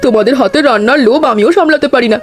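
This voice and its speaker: A woman's voice: on the high side, very fast, faint music behind, lightly acted. A woman voicing a friendly, generous village neighbour auntie in a cartoon, with a chatty manner. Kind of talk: scripted cartoon dialogue.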